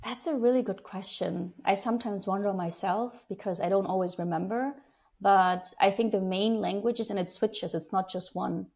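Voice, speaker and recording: A woman in her forties from Germany, a business executive answering interview questions in a measured, thoughtful way, speaking through earphones on a video call. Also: mid-range voice, darkish tone. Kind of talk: conversational interview. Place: home room with slanted ceiling window, indoors. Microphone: wired earphone mic on a video call.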